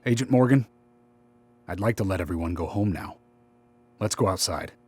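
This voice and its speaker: A man's voice: low-pitched, expressive, normal speed, a little mellow, slightly rough. A man playing a seasoned, no-nonsense small-town sheriff in a video game, middle-aged, with a plain-spoken, businesslike manner. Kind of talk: video game cutscene acting.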